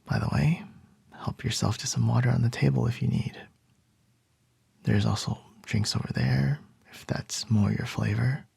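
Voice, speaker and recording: A man playing a calm, charming male CEO boss in an ASMR roleplay, soft and reassuring with a light teasing edge. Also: mid-range voice, moderate pace, vocal fry, husky, dry recording, exaggerated voice. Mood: soothing.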